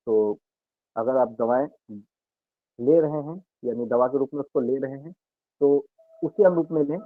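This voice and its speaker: A man, an Ayurveda health expert, calmly explaining home remedies and speaking directly to listeners on a radio health talk. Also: medium pitch, no room sound. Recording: narrow dry radio broadcast sound.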